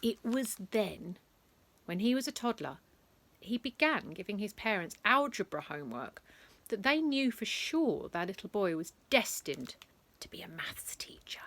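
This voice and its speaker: A woman reads a bedtime story aloud to children, her voice bright and crisp. She takes an unhurried pace and leans into the drama, with playful asides along the way. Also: mid-range voice. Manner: lively, dramatic storytelling with big emphasis and asides.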